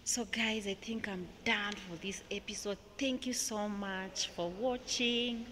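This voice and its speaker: Kenyan woman, young vlogger, easygoing museum walkthrough, bright tone, slow delivery, phone mic. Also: medium pitch, steady tone, slight background noise. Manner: slow, chatty, pointing out exhibits. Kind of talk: casual walking tour commentary. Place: indoor museum halls. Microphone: handheld phone camera.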